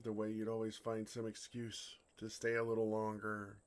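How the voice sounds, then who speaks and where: Man acting a low-voiced, flustered, smitten dragon. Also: monotone, lightly acted. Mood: tender, wistful. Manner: flustered, halting, earnest delivery. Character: bashful, smitten male dragon in human form. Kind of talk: ASMR romantic fantasy audio roleplay.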